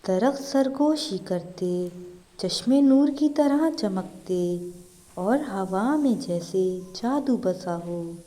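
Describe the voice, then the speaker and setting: A woman's voice: medium pitch, expressive, very slow, mellow. A woman narrating a scripted fairy tale as a storyteller.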